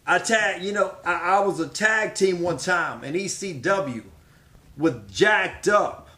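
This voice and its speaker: A middle-aged American man with a somewhat high voice. He talks like a wrestler promoting himself, hammering phrases home with dramatic repetition. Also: takes it slowly, bright, forceful tone. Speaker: professional wrestler. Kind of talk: promotional speech answering questions.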